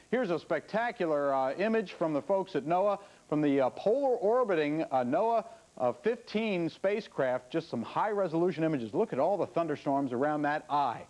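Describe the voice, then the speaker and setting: A man's voice: on the high side, lively intonation, normal speed, a little mellow. A man with an American accent presenting a live TV weather report, talking viewers through satellite images. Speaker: TV weather forecaster.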